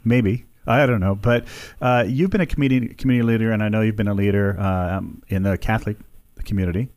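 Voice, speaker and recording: Rambling, lower-voiced radio host man. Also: fairly even intonation, quick pace, darkish tone. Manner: rambling, many fillers. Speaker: radio talk show host. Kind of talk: casual radio chat. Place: radio studio.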